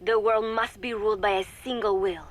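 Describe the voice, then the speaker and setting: A woman voicing a composed young female spy in a video game audio log, speaking formally with measured, deliberate diction. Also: mid-range voice, steady tone, lightly acted. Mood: guarded, uneasy. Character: composed young female spy secretly reporting to a shadowy master. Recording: noisy cassette tape recording with ambience.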